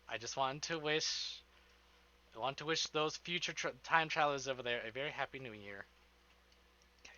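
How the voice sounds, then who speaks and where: A man's voice: on the high side, sharp tone, highs cut off. A man streaming live, chatting casually with his viewers in an informal, rambling way and swearing freely.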